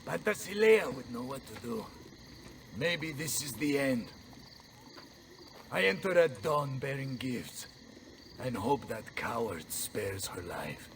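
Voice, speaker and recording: Video game role: blustering Italian general, coarse and brave, theatrical, big swinging intonation, faint noise behind. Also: male voice, mid-range voice, expressive, takes it slowly, long pauses, distant mic. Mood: grave. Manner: bombastic, theatrical, sprinkles Italian exclamations. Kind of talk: dramatic video game acting.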